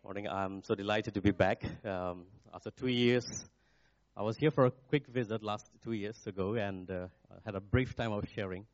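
A man in his forties, a native Nepali speaker with a Nepali accent, preaching in a halting, searching way as a guest speaker at a church. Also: fairly low pitch, dry recording. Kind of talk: sermon. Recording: dull, dry sound.

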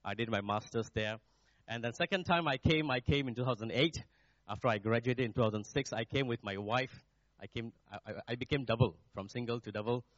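A man's voice: medium pitch, fairly bright tone, no room sound. Man with a Nepali accent preaching. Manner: halting, searching for words. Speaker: guest preacher. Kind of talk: sermon. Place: church. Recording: dull, dry sound.

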